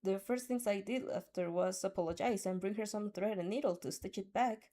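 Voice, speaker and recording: A spirited teenage anime heroine, acted by a woman, sweet with a cheeky streak. She speaks earnestly and expressively, a bit higher than an average woman's pitch. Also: a little fast, dark tone, lightly acted. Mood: earnest, regretful.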